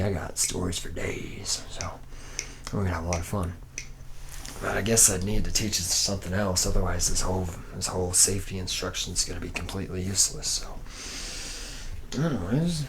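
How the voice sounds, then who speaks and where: A man's voice: low-pitched, a little hiss or hum. A man playing a laid-back, boozy womanizing factory worker in an ASMR roleplay, talking in a casual, slangy ramble. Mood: rambling, laid-back.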